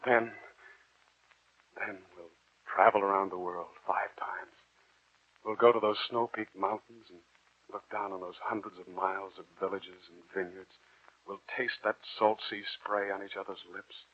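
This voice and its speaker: A man's voice: low voice, fairly even intonation, measured pace. An actor playing an ardent, anguished young man in love, in an earnest, theatrical 1940s radio drama style. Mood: tender, hushed. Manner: earnest, theatrical, impassioned delivery. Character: ardent, anguished young male lover narrating his tragic tale. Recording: muffled 1940s radio recording, background music.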